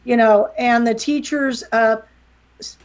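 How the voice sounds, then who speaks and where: A woman's voice: a little high, steady tone, slow. A middle-aged woman from West Virginia with a slight Southern accent, talking candidly and rambling a little as a guest on a podcast interview. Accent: slight Southern American. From West Virginia, USA. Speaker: guest, wrestling promoter and author.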